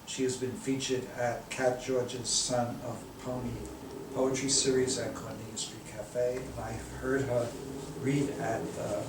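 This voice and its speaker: A man hosting a poetry reading and reciting, with a deliberate, halting delivery. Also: medium pitch, flat delivery. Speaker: host and poet at a poetry reading. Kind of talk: live poetry reading and spoken introductions. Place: indoor poetry reading, recorded from a distance. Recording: echoey room, distant mic, faint noise.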